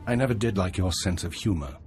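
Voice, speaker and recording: A man playing a weary, principled older intelligence minister in a video game, speaking in a measured, formal way. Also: low voice, lively intonation, a little mellow, faint music behind. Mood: grave, candid. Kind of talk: scripted video game dialogue.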